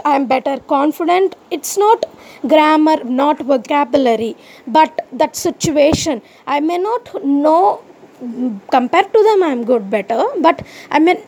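A woman speaking in a high voice with a gravelly edge. She has an Indian English accent, and English is not her first language. She talks straight to her listeners in a personal podcast, venting indignantly and firing off rhetorical questions. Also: darkish tone. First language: Indian language other than Hindi. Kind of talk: personal monologue to the audience.

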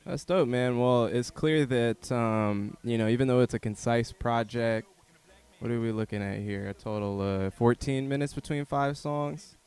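A man hosting a casual interview, relaxed and encouraging, with a lot of "like" in his speech. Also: mid-range voice, moderate pace, clear, resonant tone. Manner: relaxed, affirming, uses "like" and "man". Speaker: interview host.

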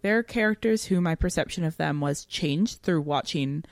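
Low-voiced woman co-hosting a podcast. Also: normal speed, dry recording, clean studio sound. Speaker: podcast co-host. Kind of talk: casual analytical discussion of fiction.

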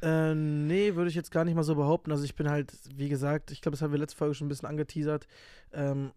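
A young man co-hosting a podcast, energetic and slangy with crude banter. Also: on the high side, quick pace, smooth, no echo. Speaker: podcast co-host. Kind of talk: casual chat.

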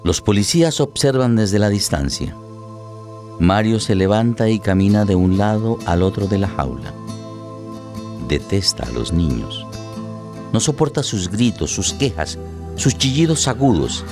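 A man with a deep voice narrates a radio drama. His phrases are short, measured and deliberate, set over prominent music. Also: sing-song.

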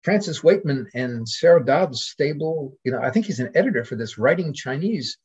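Male academic guest, husky mid-pitched voice, discursive and hesitant, dull lo-fi recording. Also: expressive, fairly quick, a little mellow. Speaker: podcast guest, literary scholar. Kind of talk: scholarly conversation.

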